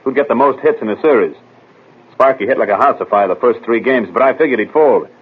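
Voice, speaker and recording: An actor playing a brash, defensive baseball player with slangy, uneducated talk in a 1940s radio drama. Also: male voice, mid-range voice, quick pace, breathy edge, close to an everyday voice. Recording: tinny old radio broadcast.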